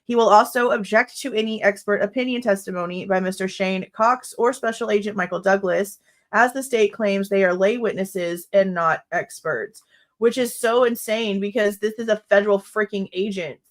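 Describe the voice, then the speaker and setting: A woman's voice: medium pitch, normal speed. A young woman with a stuffed-up nose, hosting a true crime livestream and reading a court brief aloud. She breaks off into blunt, exasperated remarks with mild swearing.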